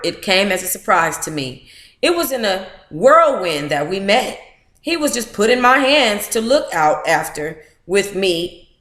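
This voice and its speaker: An American woman reads aloud as a book club host in an echoey room. Her delivery is informal and animated, moving between narration and playful, blunt asides. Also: mid-range voice. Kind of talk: informal read-aloud with candid, profane asides.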